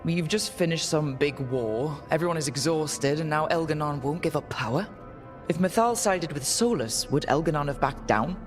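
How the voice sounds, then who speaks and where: A wry, slightly weary young leader from a fantasy video game, voiced by an actor. The voice sits at a middling pitch and sounds natural and grounded, with faint music underneath. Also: animated, normal speed, lightly acted. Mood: reflective.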